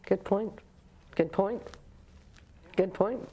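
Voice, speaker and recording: A woman's voice: medium pitch, slow, dark tone, slightly rough. A woman interviewing a guest, offering brief encouraging affirmations and steering the conversation.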